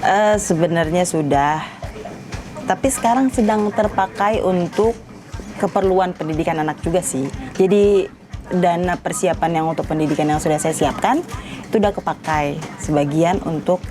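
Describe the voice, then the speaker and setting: A woman in her thirties speaks casually in an interview, her voice a bit low. Music plays clearly behind her. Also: moderate pace. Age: 30s. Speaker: interviewee, mother of two.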